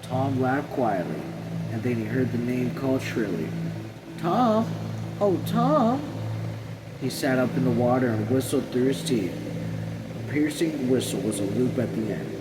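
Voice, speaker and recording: A man reads a novel aloud as a read-along narrator. His voice is mid-pitched and measured, plain, with small stumbles. Loud, constant air or vehicle noise fills the recording.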